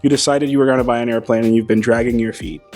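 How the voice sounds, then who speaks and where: A man hosting an aviation show, talking casually and wryly, a little hoarse from losing his voice, recorded beside a plane in a hangar on a small wireless mic. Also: mid-range voice, fast talker, faint music behind. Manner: wry, playful, lively delivery. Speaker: aviation channel host. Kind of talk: casual monologue to camera.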